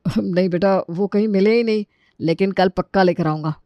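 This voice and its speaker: A woman voicing a brisk, plain-spoken uncle in a family story, in a slightly muffled recording. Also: mid-range voice, no room sound, voice pushed down, natural voice. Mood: placating. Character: brisk uncle, man of the house.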